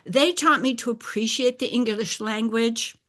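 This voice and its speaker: An older woman whose first language is Bulgarian, telling a personal story in a deliberate, explanatory way and clearing her throat now and then. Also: a little high, fairly bright tone. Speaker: speaker sharing a personal story. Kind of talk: slow scripted personal narration.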